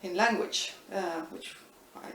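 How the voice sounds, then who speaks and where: A middle-aged woman, thoughtfully answering interview questions with many pauses and restarts. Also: fairly low pitch. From Estonia. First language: Estonian. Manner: thoughtful, frequent hesitations and restarts. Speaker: interviewee, linguistics professor. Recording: echoey room, distant mic, faint noise.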